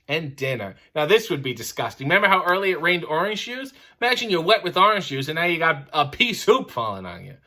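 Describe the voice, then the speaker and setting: A man's voice: a little high, fairly bright tone. A man reading a bedtime story aloud, breaking off into sardonic, mock-disgusted comic asides. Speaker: storyteller reading a picture book with commentary.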